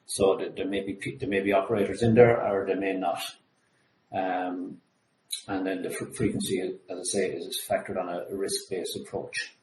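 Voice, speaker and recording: Halting low-voiced man, echoey call. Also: level tone, darkish tone. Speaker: webinar presenter. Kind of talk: technical presentation. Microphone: video call. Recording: echoey, faintly noisy webinar audio.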